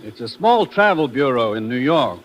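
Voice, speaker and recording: A government commissioner from a 1950s radio thriller, played by a man. He sounds official and urgent, worrying over his agent, in a medium-pitched voice. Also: sing-song, moderate pace, a little hiss or hum, lightly acted. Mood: businesslike. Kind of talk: 1950s radio drama acting.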